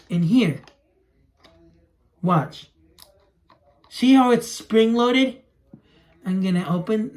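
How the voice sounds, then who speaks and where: A young adult man talking casually through a hands-on demonstration for a home vlog. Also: high-pitched. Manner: narrates own actions while handling toys. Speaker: toy video creator. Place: small room at home. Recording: noisy home recording with clicks.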